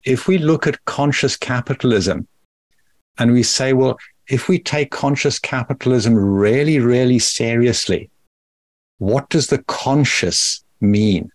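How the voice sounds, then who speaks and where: Slow, measured middle-aged man. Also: mid-range voice, no echo. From South Africa. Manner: measured, thoughtful, careful phrasing. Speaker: guest, company founder. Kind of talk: podcast interview.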